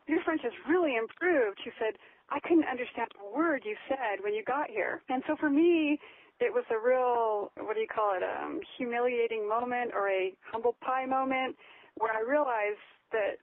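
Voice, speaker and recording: An American woman, a blogger and author, telling her story in a casual interview, enthusiastic and full of "you know"s. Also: on the high side. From United States. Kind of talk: casual interview over the phone. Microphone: telephone. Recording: tinny phone line, dry.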